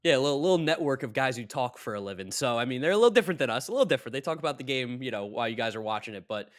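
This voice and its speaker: A man's voice: medium pitch, lively intonation, very fast. A man hosting a casual sports podcast in an upbeat, informal way. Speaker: podcast host.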